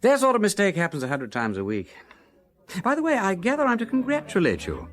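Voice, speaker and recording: An actor playing a breezy, witty aristocratic gentleman sleuth with an upper-class English accent and airy, affable manner in a radio drama. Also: high-pitched, wildly swooping pitch, a little fast. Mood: breezy, reassuring. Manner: affable, airy, old-fashioned slang, dithering politely.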